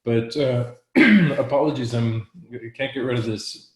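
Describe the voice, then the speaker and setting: A hoarse man who is losing his voice gives a real estate market update as an investor agent, clearing his throat and restarting his sentences often. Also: mid-range voice, a little slow. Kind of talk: market update in a live panel. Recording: roomy echo.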